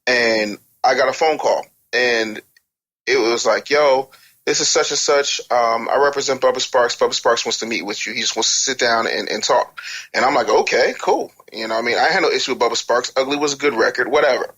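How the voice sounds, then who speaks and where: A man's voice: medium pitch, brisk, a bit bright. A man speaking as an interview guest, telling casual stories and giving blunt, joking opinions in slangy speech. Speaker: interview guest, music business and branding adviser. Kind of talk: animated casual storytelling and opinion.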